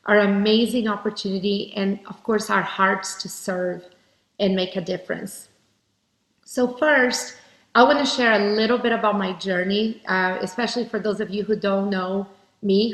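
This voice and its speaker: A woman from Brazil giving an earnest, heartfelt motivational keynote speech. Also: medium pitch. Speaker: keynote speaker. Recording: roomy echo.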